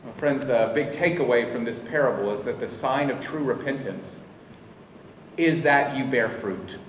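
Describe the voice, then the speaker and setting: Pastor delivering a sermon, earnest and deliberate; dull, roomy recording with faint ambience. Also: male voice, medium pitch, slight background noise. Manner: earnest preaching, slows to stress key lines. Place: church hall. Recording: echoey hall, mic at a distance, dull sound.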